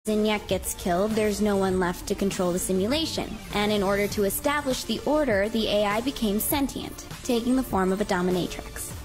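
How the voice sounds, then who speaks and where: A woman's voice: medium pitch, fairly quick, few pauses, music in the background, natural voice. A woman playing a dry, smug computer hacker in a mock video game interview, matter-of-fact and sarcastic. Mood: explaining.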